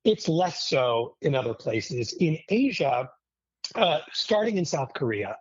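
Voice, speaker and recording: A man's voice: fairly high pitch, sing-song, a little slow, no room sound, muffled recording. A middle-aged American man speaking as a guest in a casual interview, in a reflective, anecdotal way.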